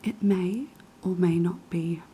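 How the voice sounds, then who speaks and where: Young NZ woman, raspy post-sickness whisper, slow ASMR delivery, dark mellow tone. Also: fairly low pitch, slight background noise. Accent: New Zealand. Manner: soft, unhurried whispering with many ums. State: hoarse after being sick. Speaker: ASMR creator. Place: kitchen of a quiet flat.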